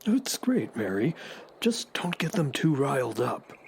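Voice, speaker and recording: A fretful sidekick a low, dark voice that leaps wildly up and down. The figure frets and stammers whenever flustered. Faint background noise sits under the audio drama. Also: female voice, very animated, a little slow, vocal fry, exaggerated voice. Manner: worried, stammering when flustered.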